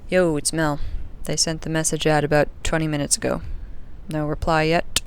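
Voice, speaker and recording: A woman playing a sardonic, frustrated young spaceship crew member recording a personal log in an audio drama, with a dry, sweary, grumbling manner and a creaky voice. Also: low-pitched, little pitch movement, normal speed. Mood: dry, wry.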